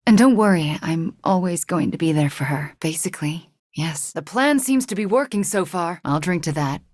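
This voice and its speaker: Moody young video game sorceress, lowish voice, earnest n dryly wry, haunted by guilt, close dry sound. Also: female voice, expressive, moderate pace, darkish tone, no echo. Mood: calm, friendly.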